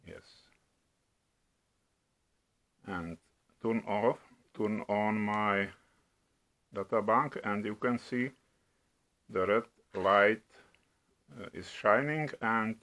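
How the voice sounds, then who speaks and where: A middle-aged man from the Czech Republic with a Czech accent, demonstrating products at home in a slow, halting way with many hesitations. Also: lowish voice, pushed and bright. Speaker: product reviewer. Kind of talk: casual product demo. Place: home room at a table.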